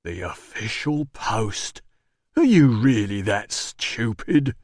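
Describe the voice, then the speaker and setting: A man voicing a surly, rough-spoken working-class male doorman in an audiobook, blunt and slow. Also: medium pitch, dry recording, studio quality, lightly acted. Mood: aggrieved. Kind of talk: audiobook dialogue.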